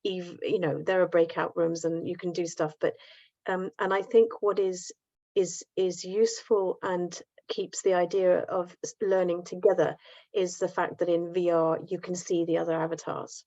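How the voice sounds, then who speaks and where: A woman with a lowish voice thinks aloud on a video call, with frequent restarts and easy laughs. The call audio sounds dull and lo-fi. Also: little pitch movement, normal speed, mellow. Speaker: workshop participant. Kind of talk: online group discussion.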